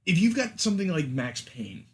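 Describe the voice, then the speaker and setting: Man hosting casually, slightly high voice. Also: sing-song, moderate pace, a little mellow. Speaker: podcast host. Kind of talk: casual discussion, posing questions to panel.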